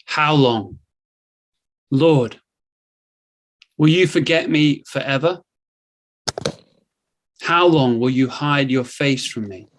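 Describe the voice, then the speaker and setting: A man giving a solemn, gentle and measured reading of a Bible psalm. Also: mid-range voice, low-quality recording. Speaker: scripture reader.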